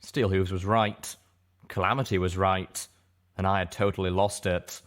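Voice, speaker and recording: A man narrating a dramatic first-person story in an expressive, theatrical audiobook manner. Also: low-pitched, moderate pace.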